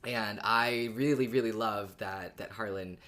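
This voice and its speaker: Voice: mid-range voice, steady tone, unhurried, fairly bright tone. Earnest podcast co-host with an ambiguous-sounding voice. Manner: earnest, explanatory, informal. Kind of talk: casual conversational podcast commentary.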